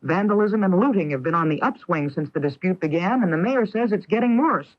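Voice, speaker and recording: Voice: medium pitch, animated, fairly quick, highs cut off. A news anchor reading scripted radio news on an old 1980s broadcast.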